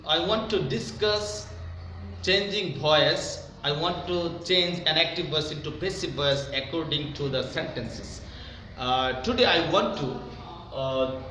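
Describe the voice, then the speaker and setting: A man with a fairly high-pitched voice gives a classroom-style grammar lesson. He is emphatic and instructional, repeating key phrases. The room echoes strongly, with faint noise behind him. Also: a bit bright. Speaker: English grammar teacher.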